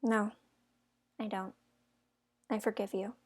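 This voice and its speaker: A woman playing an outspoken young fairytale heroine in an amateur audio drama, with an expressive, emphatic way of talking. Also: medium pitch, takes it slowly. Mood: softer, sincere.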